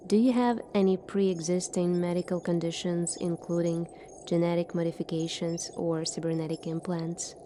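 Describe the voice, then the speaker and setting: A woman in a soft-spoken ASMR roleplay, playing a calm, formal military doctor in a measured, clinical manner. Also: lowish voice, a little hiss or hum.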